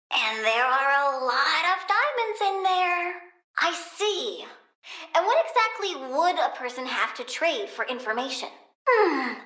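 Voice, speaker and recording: A woman's voice: high-pitched, pushed and bright, reverberant, full character voice. A woman voicing a young plant-powered girl in a video game, inquisitive, chatty, sassy and childishly blunt. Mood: earnest, curious. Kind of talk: video game character dialogue.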